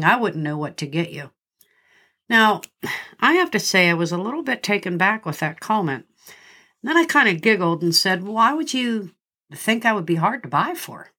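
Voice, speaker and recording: A woman's voice: lowish voice, fairly bright tone. Congested middle-aged woman hosting a podcast. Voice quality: slightly nasal. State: congested from a cold. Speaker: podcast host. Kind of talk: scripted podcast monologue.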